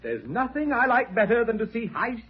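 Fussy pompous hospital boss, husky n swoopy, gushing, old tinny radio sound. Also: male voice, very high-pitched, very animated, fairly quick, exaggerated voice. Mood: jovial. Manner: effusive, pompous, chuckling. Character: pompous, fussy, jovial male hospital administrator. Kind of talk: classic radio comedy-drama acting. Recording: thin narrow-band old radio recording.

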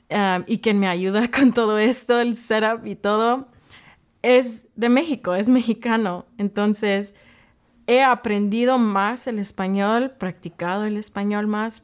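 Woman, native English speaker, podcast host, unhurried pace, medium pitch, earnest devotional encouragement, halting delivery, emphatic repetition. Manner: earnest, halting and searching for words, repeating key phrases for emphasis.

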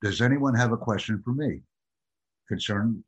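A man teaching an English exam prep class, speaking in measured, repetitive instructional phrases over a video call. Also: mid-range voice, husky, highs cut off. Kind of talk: classroom lecture.

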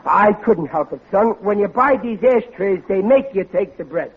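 A man's voice: sits high, animated, moderate pace, breathy edge, heavily acted. An actor playing a hapless, nervous working-class husband and father in a 1940s radio comedy, stammering and folksy. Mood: panicky. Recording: dull, noisy old radio recording.

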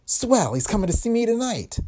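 A man voicing a tough, vengeful gangster's woman who talks in brassy slang, with a husky tone. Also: mid-range voice, very animated, brisk, a little mellow, pitched above the performer's own voice. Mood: shrill fury.

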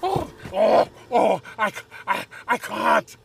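A man's voice: high voice, very animated, slow pace, vocal fry, a little hiss or hum, heavily acted. A man playing a panicky, squeamish wolfman minion who stammers and moans in a comic fantasy audio drama. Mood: panicked, queasy. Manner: stammering, moaning.

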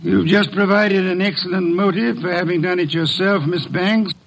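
An imperious gourmet detective in a classic radio mystery. His voice sits fairly high for a man, and he speaks in ornate, precise phrases with lofty self-assurance. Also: fairly even intonation, normal speed, gravelly, poor audio. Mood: stern, accusing. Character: pompous, imperious gourmet master detective, cultured and unhurried. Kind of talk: old-time radio detective drama acting.

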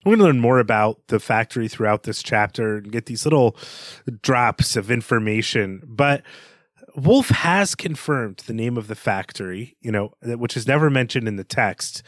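A man with a medium-pitched voice talks as a podcast co-host, with very little room sound. He is analytical and reflective about books, often circling back to restate a point. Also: lively intonation, moderate pace, no echo, clean studio sound. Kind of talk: analytical book discussion.

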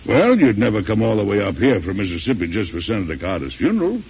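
An actor playing a shrewd, avuncular elderly American president in an old-time radio drama, with a gravelly, husky voice and emphatic delivery. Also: male voice, on the low side, very animated, quick pace, full character voice. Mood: genial, persuasive. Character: folksy, shrewd, strong-willed elderly male US president. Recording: dull, muffled vintage radio recording.

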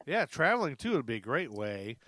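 A man's voice: medium pitch, very animated, brisk, fairly bright tone, no echo. A middle-aged man hosting a podcast in a casual, chummy, rambling way as he chats with guests. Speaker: podcast host.

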